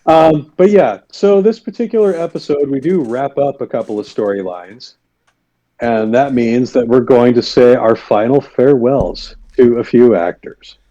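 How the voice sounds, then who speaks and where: A man's voice: mid-range voice, normal speed, warm tone. A man co-hosting a podcast, chatting casually and thoughtfully with many fillers. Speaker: podcast co-host. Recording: dull lo-fi sound.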